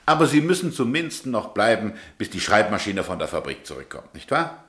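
An actor playing an old, dignified, melancholy governor, kindly yet authoritative, speaking in formal, deliberate radio drama style. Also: male voice, lowish voice, big pitch swings, a bit bright, echoey room. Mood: wistful, courteous. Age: older. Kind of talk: German radio play acting.

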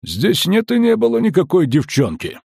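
A man voicing a sly, unhurried customs officer who speaks slowly and clearly, with folksy mockery, in an audiobook. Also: high-pitched, wildly swooping pitch, a little mellow, dry recording, clean studio sound, voice pushed up, full character voice. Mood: calming. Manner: slow, clear, drawling, mockingly folksy.

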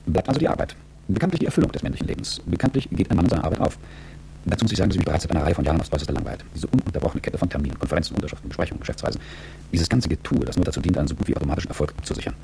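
Really deep dark-voiced rich exec, middle-aged, bored cynical radio play monologue, gravelly, faint hum. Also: male voice, full character voice, poor audio. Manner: dry, sardonic, world-weary. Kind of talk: German radio drama monologue.

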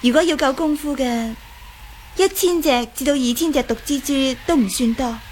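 Actress as bitter young woman martial artist, high voice, Cantonese accent, proud and intense, audio drama, faint background noise. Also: moderate pace, darkish tone, close to an everyday voice. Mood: matter-of-fact.

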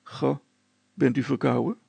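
A man voicing a calm helicopter pilot for a storybook, polite, patient and gently ironic. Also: medium pitch, measured pace, gravelly, slightly rough, no room sound, full character voice. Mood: cheeky. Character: calm, courteous, cheeky male helicopter pilot.

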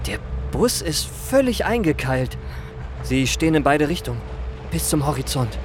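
A man's voice: a little high, sing-song, normal speed, a little hiss or hum. A man playing an edgy, jaded banker in a radio crime drama, speaking in terse, clipped phrases. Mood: uneasy. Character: male banker, jaded, edgy, caught in a mystery. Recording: music behind the voice.

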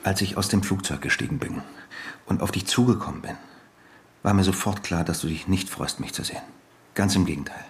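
An actor playing a wounded, bitter husband returned after years away, speaking haltingly and with restraint in a radio drama. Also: male voice, low voice, vocal fry. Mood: bitter, accusing. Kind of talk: naturalistic radio drama dialogue.

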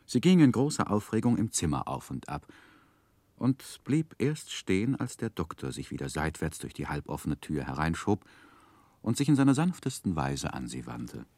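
A man recounting his life in a 1960s literary radio play. His voice is low and moves expressively up and down. The delivery is measured and gently wry, recorded close and dry. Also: sing-song, gravelly, slightly rough, no room sound, heavily acted. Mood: wry, narrating. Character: wry grown man narrating his own life story. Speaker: narrator. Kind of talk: scripted literary narration in 1960s radio drama.

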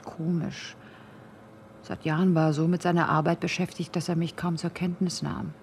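A woman's voice: lowish voice, little pitch movement, normal speed, darkish tone, a little hiss or hum, natural voice. An actress in a German radio drama playing a pensive, slightly nervous young woman with a subdued, intimate way of talking. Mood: pensive, uneasy.

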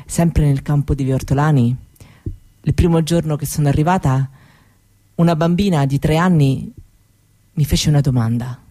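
A woman performing a first-person theatrical monologue in a reflective, unhurried manner with deliberate pauses. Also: low voice, warm tone, dry recording. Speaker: author and stage performer.

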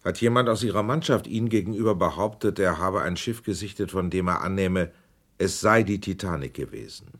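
A man's voice: sits low, fairly quick, a bit bright. An actor playing a male committee investigator in a radio drama, questioning witnesses in a pressing, formal way. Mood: probing. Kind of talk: 1980s radio drama acting.

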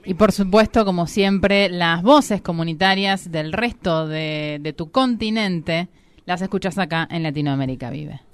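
A woman's voice: lowish voice, unhurried, over loud music. A woman co-hosting a radio talk show, playful as she announces upcoming segments. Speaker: radio co-host. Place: radio studio.

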